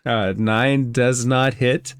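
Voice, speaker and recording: A man's voice: mid-range voice, fairly even intonation, slow pace, smooth. A man acting as game master, narrating and explaining rules in a conversational way during a tabletop role-playing game.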